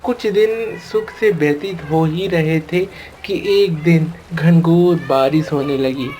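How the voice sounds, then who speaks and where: Slow-paced woman storyteller. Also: sits low, over music, low-quality recording. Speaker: narrator. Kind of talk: cartoon storytelling narration.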